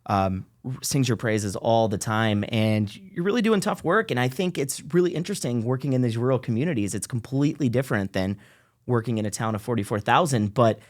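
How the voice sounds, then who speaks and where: A male interview host talks quickly and brightly, pitch in the middle of the range. He is upbeat and enthusiastic, and he keeps the conversation moving with eager questions. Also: expressive. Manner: upbeat, enthusiastic, full of praise. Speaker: podcast host. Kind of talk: casual interview hosting.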